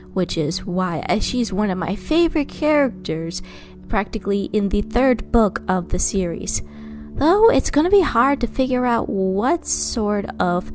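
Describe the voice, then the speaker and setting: A woman's voice: mid-range voice, darkish tone. A woman giving a casual, rambling voice-over commentary as a fan narrator, stumbling over names. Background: background music.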